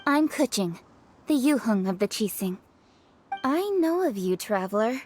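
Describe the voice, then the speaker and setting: A woman's voice: fairly high pitch, expressive, a little slow, background noise. A woman voicing a poised, confident young female official in a video game, formal and articulate in manner. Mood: composed, assured.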